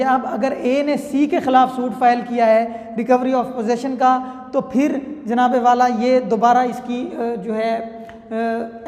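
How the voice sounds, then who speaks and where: A woman's voice: fairly high pitch, a little mellow. A woman with a Pakistani accent teaching law, explaining with examples in a conversational way and often checking that the listener follows. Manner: conversational, often checking understanding with tag phrases. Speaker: law lecturer. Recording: echoey room with long reverb.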